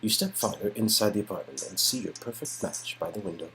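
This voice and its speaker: A man's voice: fairly low pitch, quick pace, a bit bright, rough recording. Man narrating a story game over music. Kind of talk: scripted second-person story narration.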